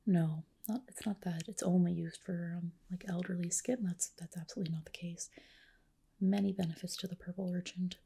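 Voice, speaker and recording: A woman whispering gently and reassuringly in a breathy voice, playing a spa clinician in an ASMR roleplay. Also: lowish voice, steady tone, a little fast.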